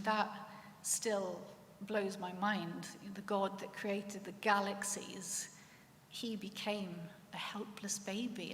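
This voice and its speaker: A middle-aged woman with a Welsh accent telling her faith story in church, earnest and reflective, pausing often. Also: mid-range voice. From Wales. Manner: earnest and reflective, with pauses and restarts. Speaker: church speaker sharing her testimony. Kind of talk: personal faith testimony. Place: church hall. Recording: echoey church with long reverb, faint room noise.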